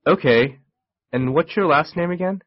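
A man playing a friendly, easygoing young man in a textbook listening dialogue, speaking in a husky voice. The recording is a slightly muffled lo-fi tape. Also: on the high side, normal speed, natural voice. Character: adult man Chuck, friendly and relaxed. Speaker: dialogue actor.

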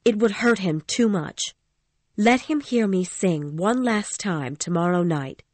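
A man reading a story and voicing a frightened young woman singer who pleads anxiously in a raised falsetto. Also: expressive, a little slow, darkish tone, no room sound. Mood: pleading.

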